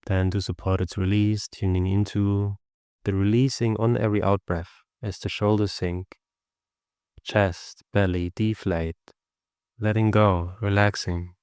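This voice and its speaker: A man leading a guided meditation as a teacher, speaking gently and instructively with long pauses. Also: on the low side, flat delivery, smooth, no echo, studio quality.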